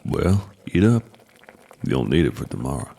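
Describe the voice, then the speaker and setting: An actor playing a gruff older mountain man in an audio drama, a blunt, folksy combat mentor who teases dryly. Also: very animated, normal speed, dark tone, full character voice. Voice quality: deep, gravelly.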